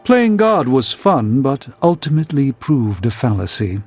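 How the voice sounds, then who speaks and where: An actor playing a proud, weary middle-aged sorcerer in a video game, speaking in measured, erudite, dryly wry phrases. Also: male voice, medium pitch, very animated. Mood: troubled, reflective. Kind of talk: theatrical video game character dialogue. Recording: muffled game audio under music.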